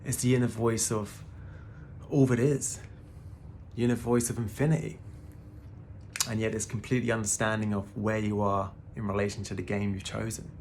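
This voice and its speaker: A man's voice: lowish voice, creaky, a little mellow, a little hiss or hum. A man in his thirties giving a casual, laid-back spiritual talk to the camera, often calling the listener "man" and swearing now and then. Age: 30s. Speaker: spiritual vlogger.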